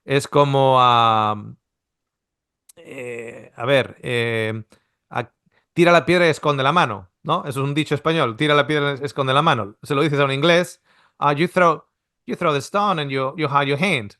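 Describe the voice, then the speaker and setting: A man's voice: medium pitch, a little slow, bright, forceful tone, smooth, dry recording, clean studio sound. A middle-aged man from Spain teaching a Bible seminar in a conversational, explanatory way, full of restarts and rhetorical questions. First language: Spanish. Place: Australia.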